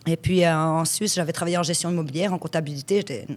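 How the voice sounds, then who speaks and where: A woman's voice: low voice, fast. A woman in her forties, originally from Switzerland, chatting frankly as an interview guest about her working life. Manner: chatty storyteller, frank and lively. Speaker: guest, hypnotherapist. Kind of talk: conversational interview.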